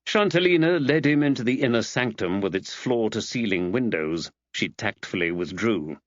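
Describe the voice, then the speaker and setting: A man's voice: fairly low pitch, animated, moderate pace, dry recording, muffled recording. A man narrating a mystery audiobook from a script.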